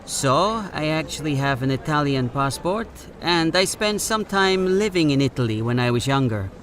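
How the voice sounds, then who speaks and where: A man's voice: a little high, lively intonation, normal speed, a bit bright. A man in his thirties from Brazil, a native Portuguese speaker, chatting thoughtfully as a street interviewee. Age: 30s. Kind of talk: scripted course-book interview. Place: busy street outdoors. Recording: street interview with clear crowd noise. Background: crowd and traffic.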